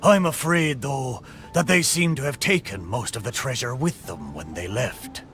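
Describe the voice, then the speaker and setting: A man's voice: medium pitch, moderate pace, lightly acted. A man playing a sentimental talking shipwreck in a video game, speaking like a theatrical old pirate sailor with a stage pirate brogue. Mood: resigned, grateful. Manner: theatrical, hearty, archaic sailor phrasing. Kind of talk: video game character acting. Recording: game music behind the voice.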